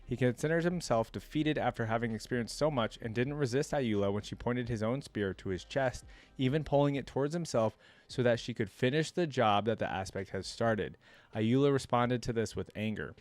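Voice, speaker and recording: A man with a mid-pitched voice reads aloud as a streamer would, in a casual, offhand manner. The sound is dry, with very little room in it. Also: fairly quick, dry recording. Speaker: streamer reacting to game lore. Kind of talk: reading aloud with casual commentary.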